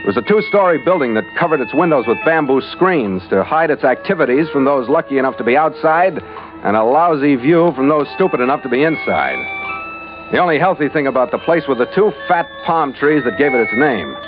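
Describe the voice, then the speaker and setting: An actor playing a tough, wry American ship captain in a hardboiled 1940s radio adventure, clipped and wisecracking. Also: male voice, fairly high pitch, expressive, a little fast, close to an everyday voice. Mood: terse, hardboiled narrating. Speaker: lead actor and narrator. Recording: muffled vintage radio recording with music.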